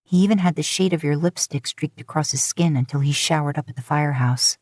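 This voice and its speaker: Casting: female audiobook-style narrator, low register, dry close sound, scripted fan fiction. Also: brisk, darkish tone, dry recording. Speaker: podfic narrator.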